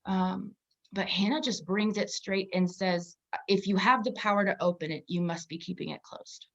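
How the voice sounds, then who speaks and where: A woman's voice: mid-range voice, normal speed, a bit bright, muffled recording. A woman co-hosting a conversational Bible discussion in a thoughtful, reflective way. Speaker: podcast co-host.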